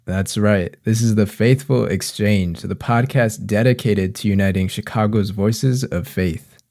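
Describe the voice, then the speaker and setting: A man's voice: lowish voice, unhurried, darkish tone, no room sound, clean studio sound. A man co-hosting a podcast, introducing it in a conversational way. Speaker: podcast co-host.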